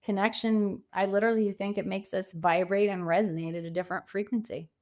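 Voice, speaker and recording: A woman speaking as a coach and podcast guest, reflective and conversational, with emphatic phrasing and deliberate pauses. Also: mid-range voice, fairly even intonation, darkish tone. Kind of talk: conversational interview.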